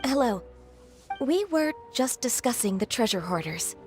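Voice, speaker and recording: A woman's voice: fairly high pitch, big pitch swings, unhurried. An actress playing a businesslike young woman giving a matter-of-fact briefing in a video game. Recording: game audio with music.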